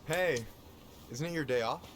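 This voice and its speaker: A man's voice: a little high, a little slow, a little hiss or hum, close to an everyday voice. An actor playing a chatty, playfully sassy young man, a friendly coworker in a video game. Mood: mock offended. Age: young adult. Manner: chatty, teasing. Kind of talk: naturalistic video game acting.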